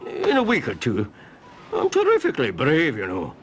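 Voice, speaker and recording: A man's voice: mid-range voice, wildly swooping pitch, normal speed, faint music behind, pitched above the performer's own voice. A man voicing a lazy, self-pitying male assistant lighthouse keeper who moans and grumbles. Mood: feeble.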